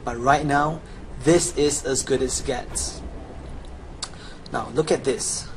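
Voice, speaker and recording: A young man narrates a video game guide as a scripted sales pitch. His voice sits at a middle pitch. There is audible noise in the recording. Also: steady tone, takes it slowly. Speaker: gamer presenting a gold-making guide. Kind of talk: scripted promotional voice-over with game commentary. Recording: clearly noisy home recording.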